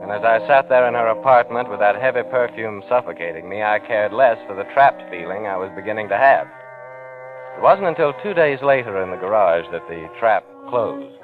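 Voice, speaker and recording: A male actor plays a brooding American man hiding guilt in an old radio suspense drama. He is hardboiled and wry, with a lowish voice. The narrow, dated recording has faint music under it. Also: lively intonation, brisk, natural voice. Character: uneasy, guilty man narrating his own crime story. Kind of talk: 1940s radio thriller acting, first-person narration.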